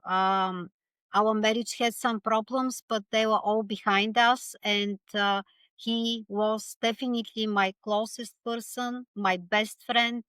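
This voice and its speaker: A woman from Bulgaria with a Bulgarian accent, a guest speaking earnestly and haltingly in an interview, with many pauses and restarts. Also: mid-range voice, steady tone, fairly bright tone, smooth, no room sound. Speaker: podcast guest. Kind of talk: reflective interview conversation.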